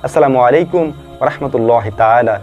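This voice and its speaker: A man's voice: mid-range voice, fast talker, darkish tone. A man giving earnest, informal explanatory commentary, pressing his points with emphatic repetition. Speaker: video narrator and commentator. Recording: dull lo-fi voice track over music. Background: music.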